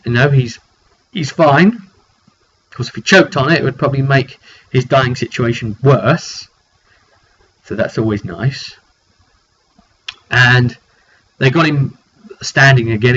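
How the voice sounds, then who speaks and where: A man casually telling the story of a tabletop roleplay session as game master, in an animated way with repetitions and slang. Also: medium pitch. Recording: dull lo-fi mic with background noise.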